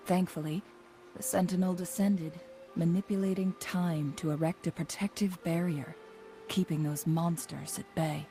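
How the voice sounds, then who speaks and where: A woman voicing a composed, knowledgeable young guide in a fantasy video game, speaking in a measured, formal, thoughtful way. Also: on the low side, fairly even intonation, dark tone, faint music behind, lightly acted. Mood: solemn explaining. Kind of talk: scripted video game voice acting.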